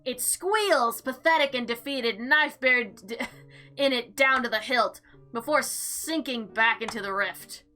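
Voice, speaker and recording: A woman giving casual, chatty gameplay commentary and reading visual novel text aloud in an expressive, theatrical way. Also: fairly high pitch, unhurried. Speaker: game player and narrator. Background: background music.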